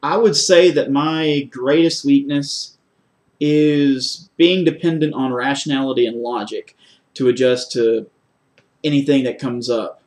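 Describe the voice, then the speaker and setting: A man with a mid-pitched voice speaks as a candidate in a formal job interview. He is measured and methodical, laying out his answers step by step. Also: fairly even intonation, darkish tone. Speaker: job candidate for a security officer post.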